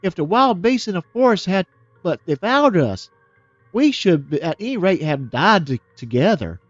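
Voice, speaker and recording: A man voicing a frightened but brave young girl in a storybook, speaking in a high, pleading way. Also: very animated, normal speed, no echo, highs cut off, faint music behind.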